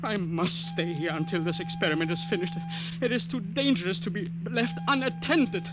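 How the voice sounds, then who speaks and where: A man's voice: sits high, moderate pace, loud music behind the voice, natural voice. An actor playing an elderly, anxious scientist father who pleads and stammers, in 1950s radio drama style. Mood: pleading. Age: older.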